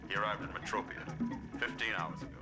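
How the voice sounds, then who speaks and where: A man's voice: on the low side, fast, over loud music, lightly acted. An actor playing a cold, menacing corporate henchman in a cartoon, terse, dour and scornful. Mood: grim.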